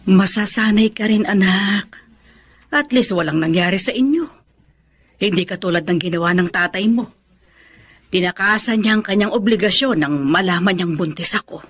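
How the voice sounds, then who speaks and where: A woman's voice: medium pitch, normal speed, husky, no echo, close to an everyday voice. A woman playing a firm, consoling middle-aged mother in a radio melodrama, speaking earnestly. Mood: consoling, bitter. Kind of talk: Tagalog radio melodrama acting.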